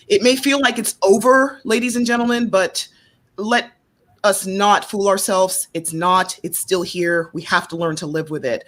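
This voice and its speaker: A woman hosting a panel discussion, lively and emphatic, with a playful streak. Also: mid-range voice. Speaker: host.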